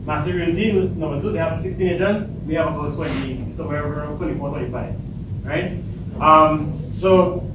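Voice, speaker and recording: A Trinidadian man with a big, loud voice, speaking to students at a workshop without a microphone and asking the audience questions. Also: a little high, moderate pace. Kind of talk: motivational talk to students. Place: large echoey hall, no microphone. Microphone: far camera. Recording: echoey hall, distant camera, audible noise.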